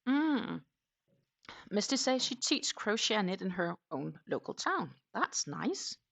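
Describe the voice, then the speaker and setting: A woman's voice: medium pitch, sing-song, unhurried, gravelly, fairly bright tone. A Danish woman who speaks English as a second language, hosting a casual crochet livestream and musing aloud with fillers and restarts. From Denmark. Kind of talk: casual chat while crocheting.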